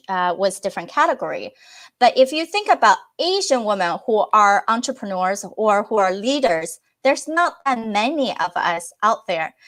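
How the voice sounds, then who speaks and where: A woman's voice: on the high side, fairly bright tone. A woman whose first language is Chinese, answering interview questions earnestly, with false starts and fillers. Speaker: podcast guest.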